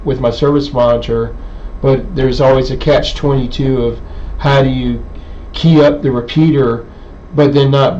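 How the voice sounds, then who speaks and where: Halting man, dull lo-fi recording. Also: mid-range voice, mellow. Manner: halting, hesitant delivery with many pauses. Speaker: presenter, radio hobbyist. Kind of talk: informal technical demonstration. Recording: dull, lo-fi sound with faint background noise.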